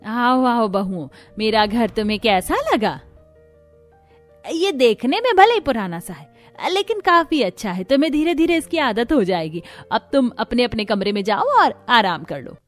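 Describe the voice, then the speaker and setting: A woman plays a middle-aged mother-in-law in a cartoon family story. She is welcoming and reassuring, with drawn-out greetings. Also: on the high side, sing-song, faint music behind.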